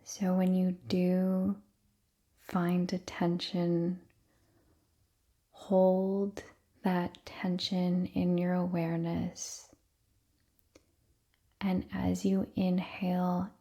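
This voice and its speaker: A woman leading a guided meditation in a soft, breathy near-whisper, gently and soothingly, with long unhurried pauses. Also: lowish voice.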